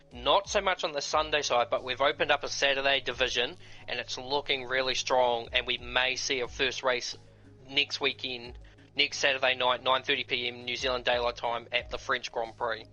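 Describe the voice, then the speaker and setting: A laid-back male co-commentator who talks bluntly and swears casually. His voice is bright and forceful, coming through a slightly dull call with faint music underneath. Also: medium pitch, steady tone, normal speed.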